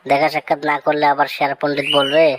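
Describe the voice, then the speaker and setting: Woman voicing a very low-pitched friendly cartoon dog. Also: flat delivery, racing, noisy background, close to an everyday voice. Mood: easygoing. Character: friendly village dog, sociable and matter-of-fact. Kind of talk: cartoon moral-story dialogue.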